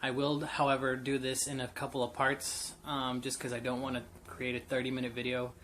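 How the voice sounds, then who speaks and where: A man's voice: mid-range voice, monotone, brisk, fairly bright tone, a little hiss or hum. A man in his late twenties telling a personal story off the cuff, candid and rambling with many fillers and restarts. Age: 20s. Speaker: vlogger. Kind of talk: unscripted personal story to camera.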